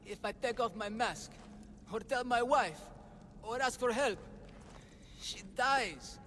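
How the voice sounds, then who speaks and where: High-pitched, nervous huckster. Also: male voice, animated, slow pace, sharp tone, slight background noise, full character voice. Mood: fearful. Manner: hesitant, stammering salesman patter. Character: nervous, theatrical swindling huckster, a frightened father. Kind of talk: video game character acting. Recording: music behind the voice.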